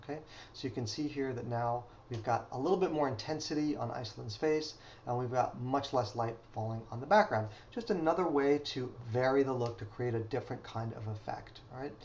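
A man's voice: mid-range voice, brisk, a little mellow, muffled recording, a little hiss or hum. Man explaining studio lighting. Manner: explanatory, frequent "okay?" check-ins. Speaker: photography instructor. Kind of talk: instructional voice-over.

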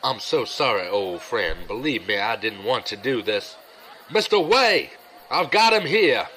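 An actor playing a sly, regretful gambler and old friend, wry and confiding, in an audio drama. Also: male voice, medium pitch, sing-song, measured pace, sharp tone, slight background noise. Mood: regretful betrayal. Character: sly, regretful gambler, smooth-talking old buddy, man.

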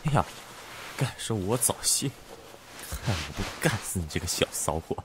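A man's voice: low-pitched, big pitch swings, moderate pace, a little hiss or hum. A man playing a composed, teasing, dominant lover in an audio drama, speaking tersely and intimately without hurry. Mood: mock-threatening. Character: composed, teasing, dominant male lover in ancient-style romance. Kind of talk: Chinese audio drama acting.

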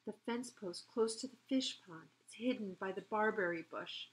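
A woman reader plays a failing mother hummingbird from a children's storybook. The voice is scratchy and hoarse, speaking in halting, weary phrases, honest and anxious for her eggs. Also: medium pitch, natural voice. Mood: pleading, hushed.

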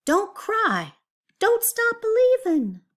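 Woman putting on high-pitched panicky little insects. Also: wildly swooping pitch, takes it slowly, heavily acted. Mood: panicked, urgent. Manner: urgent, high exclamations. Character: gang of panicky insects shouting encouragement to a friend.

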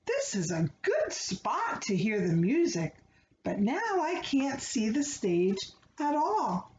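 Woman reading as a curious little teddy bear, unhurried. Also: fairly high pitch, wildly swooping pitch, a little mellow, full character voice. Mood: wistful, pondering. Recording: roomy, dull home recording with background noise.

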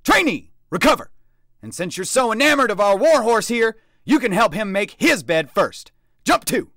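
A high, blaring drill sergeant bellows commands in a dry, close sound, as a narrator puts him on. He is harsh and commanding, every order a roar. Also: expressive, quick pace, no echo, lightly acted. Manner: barking, roaring orders.

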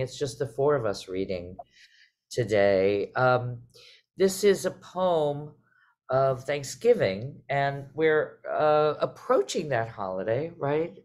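A middle-aged woman hosting a casual online conversation, speaking reflectively and haltingly over a video call. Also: very deep voice, darkish tone. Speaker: host, artistic director.

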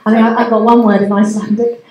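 A woman's voice: a little high, little pitch movement, quick pace, darkish tone. An English woman acting as MC of a comedy night, chatty and bubbly, teasing the crowd. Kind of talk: live emcee banter. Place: community centre hall, live audience. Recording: echoey hall with audible noise.

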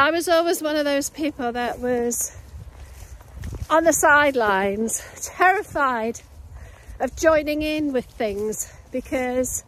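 A woman's voice: high-pitched, rough recording. A woman in her late fifties talking reflectively to camera, recorded outdoors on a phone held at arm's length. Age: late 50s. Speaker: vlogger. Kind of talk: personal reflective vlog to camera. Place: outdoors in open countryside on a walk. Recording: clear outdoor background noise.

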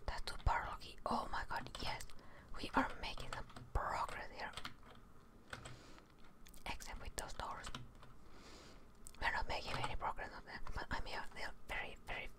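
A woman's voice: moderate pace, long pauses. A young woman giving casual, sweary ASMR commentary on a video game, close to a studio microphone. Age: young adult. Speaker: gaming streamer. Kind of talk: whispered ASMR gameplay commentary. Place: indoors at a desk, close to the microphone. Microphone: close studio microphone on a stand, headphones on.

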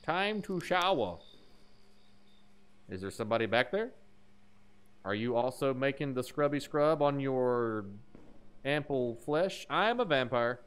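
Animated man joking through a horror game. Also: mid-range voice, measured pace, pauses often, clear, resonant tone. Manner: crude, playful, exaggerated delivery. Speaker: streamer playing a horror game. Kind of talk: joking gameplay commentary.

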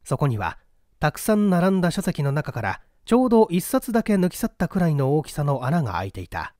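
A man's voice: fairly high pitch, sing-song, fairly quick, a little mellow, dry recording, studio quality. A man reading a classic mystery novel as an audiobook narrator, in formal, dramatic storytelling style.

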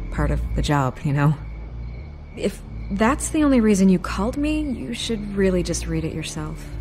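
A woman's voice: on the low side, darkish tone, natural voice. A woman playing a shrewd, wry investigative reporter in a naturalistic video game drama.

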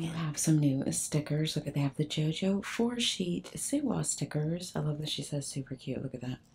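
A woman's voice: sits low, mellow, slightly rough. An older woman chatting casually as she films herself browsing a store aisle, often dropping to a whisper. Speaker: shopping vlogger. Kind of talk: casual shop-with-me narration. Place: large discount store aisle. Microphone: handheld phone camera.

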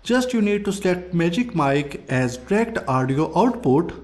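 A man with a high-pitched voice narrates a scripted software tutorial. His intonation is very lively, moving up and down as he presents as a tech review host. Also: moderate pace.

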